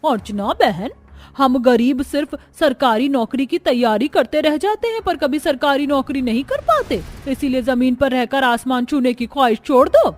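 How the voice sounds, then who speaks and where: A woman voicing a pushy, chatty middle-aged mother in an animated story. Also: high voice, moderate pace, pitched above the performer's own voice, lightly acted. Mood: scornful. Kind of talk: animated story character dialogue.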